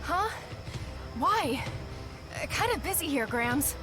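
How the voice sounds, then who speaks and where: A fierce, high-voiced young heroine. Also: female voice, big pitch swings, slow pace, music in the background, exaggerated voice. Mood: puzzled. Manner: emotional, theatrical, defiant. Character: fierce young orphan heroine, defiant, vengeful, tender granddaughter. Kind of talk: dramatic video game voice acting.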